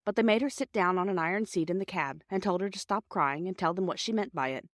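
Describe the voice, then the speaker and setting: A woman's voice: fairly low pitch, fast talker, no room sound, studio quality. A woman narrating a children's story from a script as an audiobook reader.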